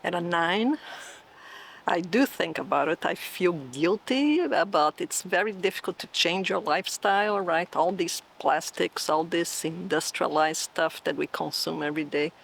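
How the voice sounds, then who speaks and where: A woman's voice: on the low side, moderate pace, gravelly, slight background noise, rough recording. A woman in her sixties from Brazil, with a Brazilian Portuguese accent, thoughtfully answering interview questions as a professor and often checking in with "right?". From southern Brazil. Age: 60s. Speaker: cell biology professor. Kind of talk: oral history interview answers.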